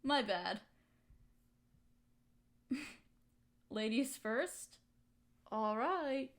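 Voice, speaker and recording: A woman acting a high-voiced, emotional young woman. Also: lively intonation, extremely slow, exaggerated voice. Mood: teasing, warm. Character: hurt young woman softening toward her best friend and crush. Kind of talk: intimate roleplay audio drama monologue.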